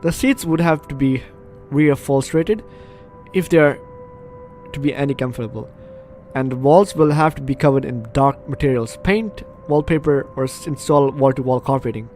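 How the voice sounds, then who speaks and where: A man's voice: mid-range voice, normal speed, darkish tone. Male gaming host, middle-range voice, reads story text aloud, trips on lines, breaks off for asides, faint music underneath. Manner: stumbles over read lines, self-interrupting asides. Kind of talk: let's play commentary, reading game text aloud.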